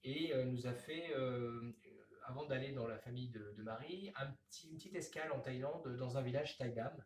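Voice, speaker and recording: A man, a comics author, talking in a radio interview with many pauses and restarts. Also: medium pitch, roomy sound, distant mic, rough recording. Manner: hesitant, many fillers and restarts.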